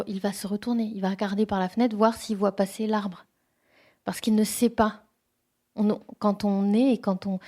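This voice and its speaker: Woman poet with a warm, dark tone, reflective and halting at a bookshop talk. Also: medium pitch. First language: French. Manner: thoughtful, hesitant, many restarts. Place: bookshop event room.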